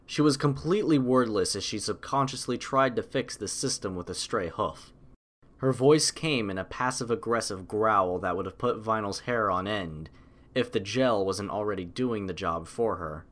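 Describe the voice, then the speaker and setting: Low-pitched man narrating a story. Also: normal speed, fairly bright tone. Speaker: audiobook narrator.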